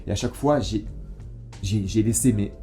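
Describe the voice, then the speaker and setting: A man's voice: on the low side, moderate pace, faint music behind. A French man co-hosting a casual podcast chat, talking with enthusiasm and frequent restarts. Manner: enthusiastic, animated, many restarts. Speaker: podcast co-host. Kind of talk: casual chat about cigars.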